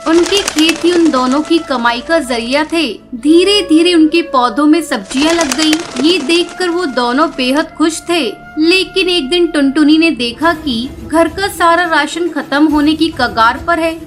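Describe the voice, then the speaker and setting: A woman reading scripted narration for a children's cartoon story. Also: sits high. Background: background music.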